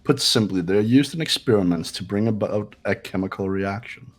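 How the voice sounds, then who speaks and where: An amateur actor voicing a haughty, condescending young man in a visual novel game, speaking formally and pompously with a husky voice. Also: fairly low pitch, brisk, close to an everyday voice. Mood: scornful. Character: haughty, sneering young male intellectual rival. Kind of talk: fan-dubbed visual novel game acting.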